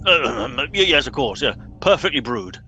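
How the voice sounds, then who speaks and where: A man's voice: mid-range voice, big pitch swings, normal speed, highs cut off, over loud music. A man playing a pompous, officious and easily exasperated captain in a comic radio play. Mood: brisk authority. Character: pompous, impatient male captain of bumbling crew.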